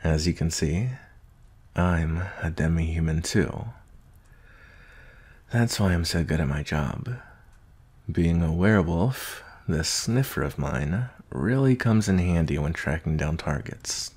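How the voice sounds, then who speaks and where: A man plays a male werewolf bounty hunter in an intimate ASMR roleplay. His voice is extremely deep with a gravelly fry, and he takes his time. He sounds gruff but tender, talking softly and gently with hesitant pauses. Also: animated, heavily acted. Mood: low, brooding. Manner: slow, gentle, soft-spoken, hesitant pauses.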